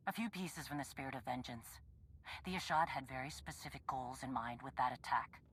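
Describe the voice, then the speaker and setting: Low, husky, brash bounty hunter. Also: female voice, a little fast, a bit bright, full character voice. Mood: cool, determined. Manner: blunt, commanding, threatening. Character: brash, hard-edged female bounty hunter, impatient and vengeful. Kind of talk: video game cutscene acting.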